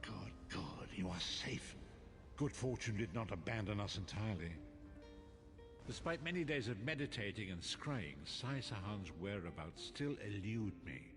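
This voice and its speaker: An actor voicing a wise old blind prophet in a fantasy video game, grave and cryptic, speaking in solemn, formal phrases. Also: male voice, fairly low pitch, sing-song, unhurried. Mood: weary relief. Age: older. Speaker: quest-giving mentor in a fantasy game. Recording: long hall reverb, game music underneath. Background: game music.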